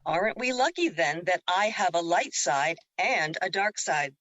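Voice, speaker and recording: A woman's voice: fairly low pitch, animated, a little slow, a bit bright, muffled recording. A woman playing the Moon as a teasing, wise and reassuring spirit in an audio drama, with a playful, counselling way of talking.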